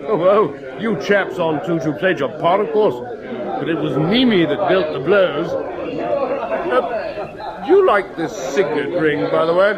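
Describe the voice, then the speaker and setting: Haughty British officer, high-pitched, clipped, patronising, radio drama, outdoor crowd background. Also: male voice, lively intonation, a little slow. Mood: smug. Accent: English.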